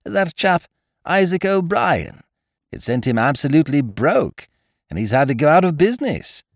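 A man voicing an amiable, dim young gentleman in an audiobook, bleating and chummy, full of old-fashioned British slang. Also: a little high, big pitch swings, moderate pace, creaky, dry recording, studio quality, pitched above the performer's own voice, full character voice. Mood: cheerful, chatty. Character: amiable, dim upper-class young gentleman, easily pleased.

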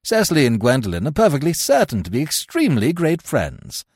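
Irritable fussy young gentleman, as a man does him, lively swooping delivery, dry close sound. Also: medium pitch, fairly quick, husky, no room sound, pitched above the performer's own voice, heavily acted. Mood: flustered. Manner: peevish, flustered.

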